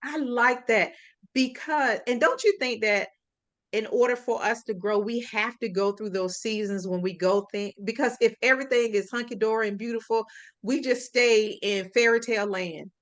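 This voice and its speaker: A woman's voice: on the high side, moderate pace. A middle-aged woman hosting a casual interview, talking expressively and laughing easily. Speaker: host.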